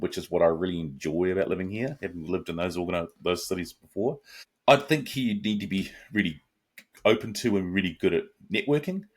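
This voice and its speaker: A middle-aged man with a New Zealand accent, a guest answering interview questions in a relaxed, reflective way. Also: sits low, breathy edge. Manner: relaxed, reflective, with frequent restarts and asides.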